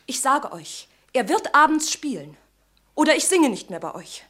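A woman's voice: high-pitched, sing-song, normal speed, a bit bright, a little hiss or hum. A woman acting an upset young singer protesting against her friends, in a radio drama. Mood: alarmed. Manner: alarmed, protesting. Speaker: radio play actress.

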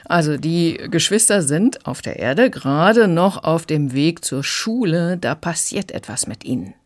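A woman's voice: low voice, expressive, unhurried. A woman working as an audiobook critic, giving prepared commentary in a radio review conversation.

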